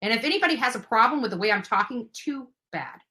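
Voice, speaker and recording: A woman's voice: on the high side, animated, fast talker, bright, forceful tone. A woman livestream host delivering an impassioned, confrontational rant, emphatic and indignant, with some swearing.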